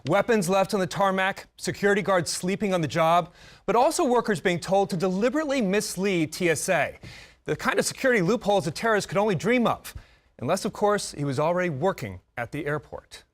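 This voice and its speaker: A man's voice: sits high, lively intonation, a little fast, no room sound. An American man narrating a scripted investigative TV news report.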